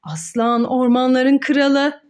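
A woman's voice: high voice, level tone, takes it slowly, darkish tone, smooth. A woman talking as a mother hosting a children's play video, encouraging and full of questions and exclamations. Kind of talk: playful educational chat with child and viewers.

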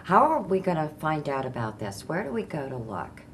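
A woman's voice: low voice, sing-song, fairly quick. An older woman hosting an interview, chatty and enthusiastic, talking casually in a studio. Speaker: talk show host. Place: indoor TV studio stage with curtains. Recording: faint background room noise.

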